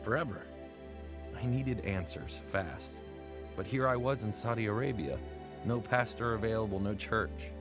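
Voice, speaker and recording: A man's voice: lowish voice, normal speed, close to an everyday voice. A man in his twenties narrating his own story reflectively in a radio drama. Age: 20s. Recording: narration over music.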